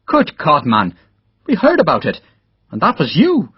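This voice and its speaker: A man's voice: mid-range voice, very animated, brisk, breathy edge, no echo, dull audio. A man voicing a hearty, blunt middle-aged Irish engineer captain in an audiobook. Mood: delighted. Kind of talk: audiobook character dialogue.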